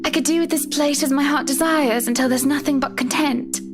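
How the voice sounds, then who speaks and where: Woman playing a young married lady for a visual novel: high-pitched, courteous, softly melancholy, over clear guitar music. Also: fairly even intonation, a little fast, natural voice. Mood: wistful, dreamy.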